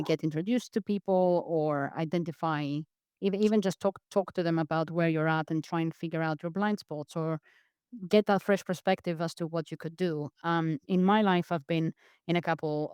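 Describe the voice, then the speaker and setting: A woman from Greece speaks as a guest on a podcast, earnest and reflective, often repeating words as she restarts a thought. Her voice is smooth and resonant, and the recording is close and dry, with no room sound. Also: sits low, a little mellow, no room sound. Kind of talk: reflective interview talk.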